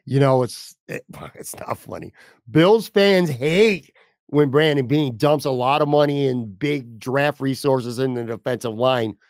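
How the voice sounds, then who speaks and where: A man hosts a casual sports talk podcast with a medium-pitched voice. He is blunt and informal, giving firm opinions, and the sound is dry with very little room in it. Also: measured pace, no room sound. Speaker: podcast host.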